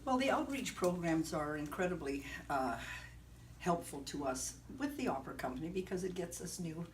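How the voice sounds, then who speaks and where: A woman soprano and opera director chatting in an interview, lively and laughing often. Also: fairly low pitch, normal speed. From partly Caribbean. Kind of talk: casual interview chat. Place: indoor concert venue. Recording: distant mic, noisy room.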